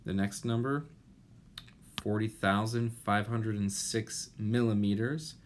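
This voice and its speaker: A man in his thirties giving an explanatory lecture as an instructor, deliberate and pausing, with rhetorical questions. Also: fairly low pitch. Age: 30s. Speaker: chemistry instructor. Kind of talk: explanatory lecture over slides. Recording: noticeable steady background noise.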